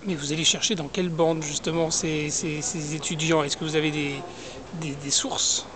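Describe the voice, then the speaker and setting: A man interviewing a guest, asking polite, drawn-out questions. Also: fairly high pitch, level tone. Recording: distant from mic, crowd behind.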